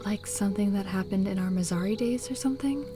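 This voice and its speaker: A woman acts out a loyal companion who worries over her friend. Her mid-pitched voice is gentle and soft-spoken, with a questioning manner. Music plays behind this visual novel dialogue. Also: fast, natural voice. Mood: concerned, teasing. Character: concerned, loyal female companion of a brooding ex-leader.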